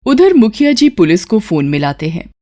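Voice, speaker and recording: A woman's voice: mid-range voice, big pitch swings, normal speed, dark tone. A woman narrates a moral story in a scripted storytelling style.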